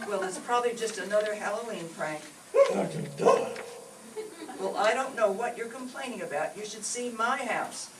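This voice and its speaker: A woman's voice: mid-range voice, normal speed, pauses often, natural voice. A woman playing a fretful, sympathetic suburban housewife in an old-time radio comedy on stage. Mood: defensive, exasperated. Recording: distant echoey stage, loud noise.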